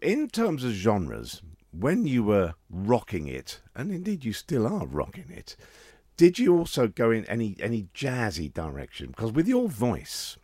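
A man hosting a radio music show, interviewing a guest in a relaxed, curious way. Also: mid-range voice, a little slow, husky, dry recording, clean studio sound. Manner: animated, curious questioning.

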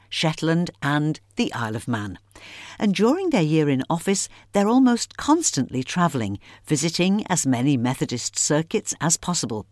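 Lively radio presenter with a dry, close sound. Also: medium pitch, no echo. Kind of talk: scripted introduction and interview.

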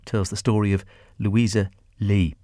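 A man hosting a radio interview, asking questions in a lively, conversational way. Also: lowish voice, unhurried, no room sound, clean studio sound. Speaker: radio presenter.

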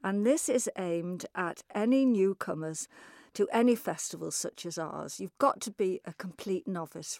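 A woman with a Manx accent, a festival chairperson, briskly and clearly explaining new classes with touches of humour. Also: fairly low pitch, darkish tone, dry recording, studio quality. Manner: brisk, informative, lightly humorous. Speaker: chairperson of a music, speech and drama festival. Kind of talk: interview answers.

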